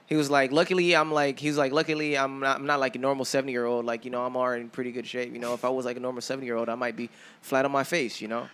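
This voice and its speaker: A man co-hosts in relaxed casual chat, retelling stories. He talks quickly at a middle pitch. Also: clear, resonant tone. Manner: relaxed, storytelling. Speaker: podcast co-host.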